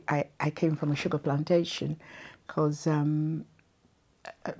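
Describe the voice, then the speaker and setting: Older woman from Guyana, oral history interviewee; low voice, unhurried, reflective. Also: a little mellow. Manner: slow, thoughtful, with frequent pauses and ums. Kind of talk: reminiscing interview.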